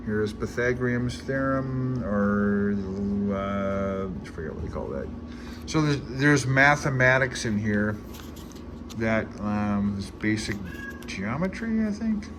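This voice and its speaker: A man's voice: medium pitch, animated. Rambling man on a noisy recording. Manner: rambling, halting, self-deprecating. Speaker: amateur radio hobbyist making study progress videos. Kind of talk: casual unscripted vlog, thinking aloud.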